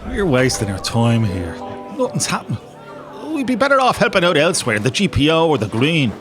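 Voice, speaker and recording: Man acting a young irish rebel lad, sardonic stagey type, voice swings all over, a bit high. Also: big pitch swings, brisk, music in the background. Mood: impatient. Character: restless, sardonic young Irish rebel soldier who acts. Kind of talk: audio drama acting.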